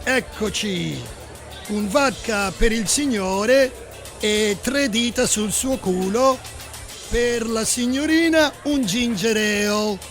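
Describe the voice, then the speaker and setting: A man's voice: sits high, slow, exaggerated voice. An actor playing an attentive, courtly hotel barman in a radio drama. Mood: obliging. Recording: loud music underneath.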